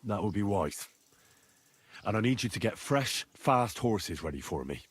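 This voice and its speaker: An actor playing an earnest, confident medieval adventurer in a video game, husky and courteous in a measured way. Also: male voice, on the low side, sing-song, no echo, exaggerated voice. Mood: earnest, businesslike. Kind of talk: video game dialogue acting.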